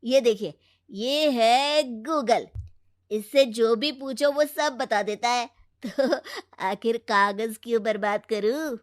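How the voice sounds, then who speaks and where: A woman voicing a cocky, phone-obsessed young man of twenty in a children's moral story, breezy and exclamatory, quick to whine under stress. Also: fairly high pitch.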